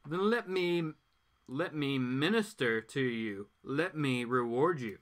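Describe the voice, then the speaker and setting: A man's voice: medium pitch, animated, takes it slowly, fairly bright tone. Man putting on a coaxing, theatrical villainess. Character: seductive, cool villainess, grandly flattering.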